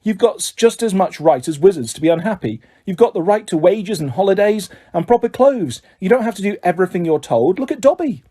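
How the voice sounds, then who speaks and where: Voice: mid-range voice, lively intonation, fairly quick, few pauses, warm tone, voice pushed up. A reader voicing a stern, principled teenage schoolgirl who speaks severely. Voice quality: crisp, severe. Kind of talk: audiobook character voice.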